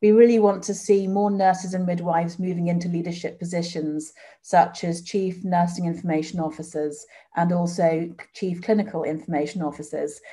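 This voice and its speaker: A woman in her forties opens and chairs an online conference panel in a measured, formal way. Her voice sits somewhat low and sounds mellow and warm. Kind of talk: scripted conference introduction. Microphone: video call.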